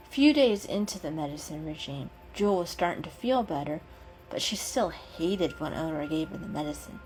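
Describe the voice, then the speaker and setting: A woman's voice: fairly low pitch, a little mellow, poor audio. Woman narrating a story over music. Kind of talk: dramatic reading of an animal story. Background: background music.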